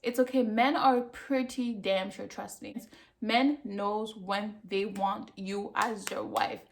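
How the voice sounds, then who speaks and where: A woman's voice: medium pitch, fairly even intonation, takes it slowly. A woman talks straight to her viewers in a casual review, pressing each point and repeating it for weight. Her voice sits in a middle range for a woman. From Cameroon. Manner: emphatic, repeats herself for effect. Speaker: vlogger.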